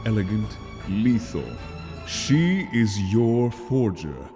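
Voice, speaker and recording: A man's voice: on the low side, very animated, takes it slowly, dark tone. A man voicing a solemn, menacing video game boss announcer, narrating in a portentous, dramatic way. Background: dramatic music.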